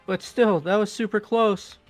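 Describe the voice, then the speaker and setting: A man playing an excitable, cowardly young slacker in a video game, whiny and defensive. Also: sits high, a little slow. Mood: self-pitying. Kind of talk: video game character voice-over. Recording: music bed behind voice.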